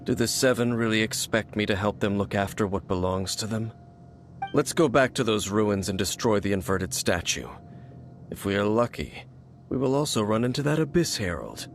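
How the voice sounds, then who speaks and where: An actor playing a grim, distrustful warrior in a fantasy game, speaking in a solemn, formal, restrained way. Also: male voice, on the low side, lively intonation, moderate pace, slight background noise. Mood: determined. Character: grim, distrustful male warrior guarding against dark forces. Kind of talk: fantasy video game voice acting.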